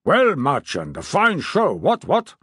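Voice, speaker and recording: A man voicing an impatient, excitable older king who talks loudly and tags his lines with "what, what?". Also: takes it slowly, breathy edge, dry recording, studio quality, heavily acted. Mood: hearty. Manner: booming, emphatic, tags "what, what?".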